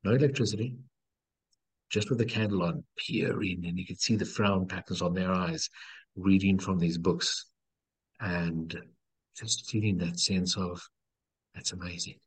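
A middle-aged man from South Africa with a low, husky voice speaks as a life coach in conversation. He talks in an earnest, encouraging way and leans on repeated phrases. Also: measured pace, pauses often, rough recording. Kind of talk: reflective storytelling in conversation.

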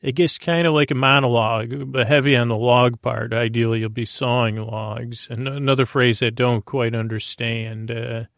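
Creaky-voiced man rambling through a bedtime monologue. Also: mid-range voice, steady tone, a bit bright, no echo, clean studio sound. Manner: meandering, halting, stumbling over words; mumbly, self-deprecating. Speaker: podcast host and storyteller. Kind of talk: rambling bedtime monologue to help listeners sleep.

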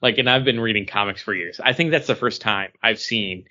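Bright-voiced man, dull lo-fi recording. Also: fairly low pitch, brisk. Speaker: comics podcast co-host. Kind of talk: casual chat reviewing comics. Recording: dull lo-fi sound.

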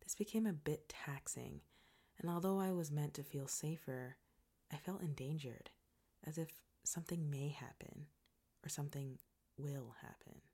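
A woman narrating a personal story in a soft, breathy, measured way. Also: low-pitched.

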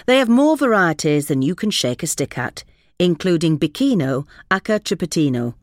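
Lively, low-pitched woman narrator. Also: normal speed, no room sound, clean studio sound. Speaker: narrator reading a gardening article. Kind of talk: scripted magazine article narration.

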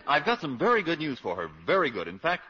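Effusive, swooping old-radio suitor. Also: male voice, fairly high pitch, huge pitch swings, pushed and bright, a little hiss or hum, heavily acted. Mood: delighted. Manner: effusive, drawn-out, repeats himself. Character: fussy, genial middle-aged bachelor suitor, delighted and pompous. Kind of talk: 1940s radio comedy acting. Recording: dull vintage 1940s radio recording.

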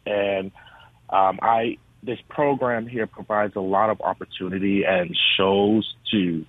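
Low-pitched man on a phone-like line. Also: steady tone, slow pace, no room sound. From US Virgin Islands (Saint Thomas). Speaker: theater education director, interview guest. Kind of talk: interview answers about theater work. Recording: narrow phone-like radio line.

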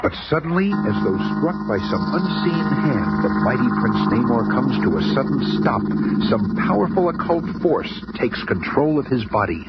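A man's voice: medium pitch, big pitch swings, normal speed, over loud music. A man narrating a superhero radio serial in a grandiose, theatrical storytelling style. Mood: suspenseful. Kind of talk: dramatic scripted radio narration. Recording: noisy, muffled old radio recording.

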